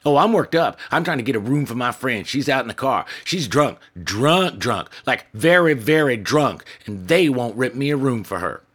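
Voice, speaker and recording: A woman's voice: deep voice, fairly quick, gravelly, hardly any pauses, voice pushed up. A man doing a brash, pushy woman. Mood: pleading. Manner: loud, pleading, switches to scolding. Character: brash pushy woman, wheedling then cold.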